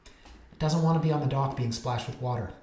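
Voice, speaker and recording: Brief: realtor in his thirties, chatty and self-mocking, mid pitch, recorded in a roomy space. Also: fairly quick, darkish tone, a little hiss or hum. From Canada. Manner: chatty, joking, self-deprecating. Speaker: realtor and vlog host. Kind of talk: casual rambling talk to camera. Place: office.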